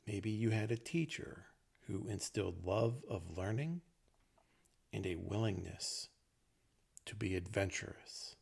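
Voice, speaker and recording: Very slow, deep, gravelly man guiding meditation. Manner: gentle, unhurried phrases with long pauses. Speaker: meditation teacher. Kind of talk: guided meditation.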